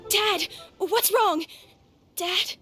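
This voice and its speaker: A little child in a video game with an extremely high, small voice. The child sounds innocent and anxious. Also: female voice, drawn-out words, music in the background, heavily acted. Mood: frightened.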